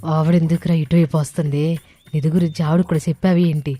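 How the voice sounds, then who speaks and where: Low-voiced, assertive village matron played by a woman. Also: level tone, fast, dark tone. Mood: secretive. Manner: firm, chiding. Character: respected village woman, assertive, sarcastic, secretive.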